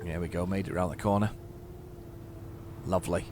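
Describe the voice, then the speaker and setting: A hoarse man giving casual, rambling commentary while playing a driving game at home, often stammering and repeating words as he chats to himself. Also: deep voice, fairly even intonation, normal speed, background noise. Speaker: gaming YouTuber.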